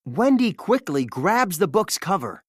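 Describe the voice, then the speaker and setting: Animated children's storybook narrator, lively pitch. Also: measured pace, slightly rough, no echo, clean studio sound.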